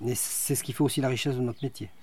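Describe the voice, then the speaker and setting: A middle-aged man, a magistrate, answering interview questions in a measured, precise way with dry humor. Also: mid-range voice, fairly even intonation, darkish tone, breathy edge. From France. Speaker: guest, magistrate.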